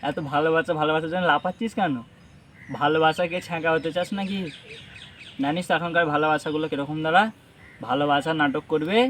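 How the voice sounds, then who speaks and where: A young man talks very fast in a fairly high voice. He sounds teasing and insistent, as in a casual call with a friend. There is some noise behind him, with birds squawking. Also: a bit bright. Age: young adult. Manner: teasing, coaxing, insistent questioning. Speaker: vlogger making a prank phone call. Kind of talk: casual chat on a phone call. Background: parrot squawks and ambient noise.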